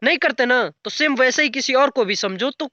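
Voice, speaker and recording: Young guy w ranting off the cuff, sharp bright voice, super dry close sound. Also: extremely high pitch, fairly quick, no room sound, lo-fi sound. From rural north India. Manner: blunt, colloquial, crude slang. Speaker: YouTube commentator. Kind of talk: unscripted casual commentary rant.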